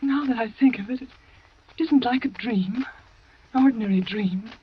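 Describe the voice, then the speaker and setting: An actress playing a frightened young wife haunted by nightmares, in 1940s radio drama style, trembling and breathless. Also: female voice, a little high, normal speed, breathy edge, no echo. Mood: shaken. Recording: dull old radio broadcast.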